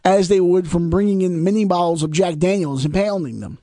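A young man in his twenties co-hosting a radio talk show, trading sarcastic jabs and crude jokes. His voice sits high for a man, and the recording is close and dry with no room sound. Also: a little fast, warm tone, no room sound. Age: 20s. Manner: wisecracking, sarcastic, swears freely. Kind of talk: casual crude banter.

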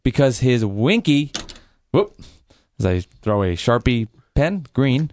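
A man's voice: mid-range voice, sing-song, slow, darkish tone. A man hosting a sports radio show from a studio, teasing his co-host in playful casual banter. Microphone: studio microphone at a mixing board.

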